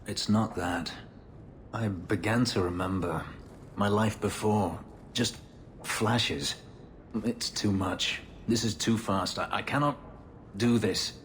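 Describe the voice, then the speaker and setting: An actor playing a haunted, guarded former slave, an elf warrior who speaks in a measured, formal, restrained way, in video game dialogue. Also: male voice, fairly low pitch, fairly bright tone, a little hiss or hum. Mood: anguished.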